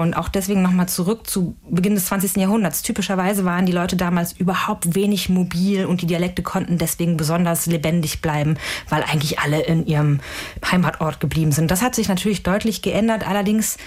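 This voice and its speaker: A woman researcher giving careful, analytical answers in a radio interview, often framing points as rhetorical questions. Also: fairly low pitch. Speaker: language researcher, interviewed expert.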